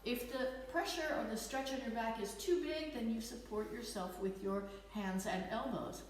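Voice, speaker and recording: A woman fitness instructor with a slight Dutch accent, leading a guided stretching class with gentle, encouraging cues. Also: a little high, moderate pace, distant mic. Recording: echoey room, voice off-mic.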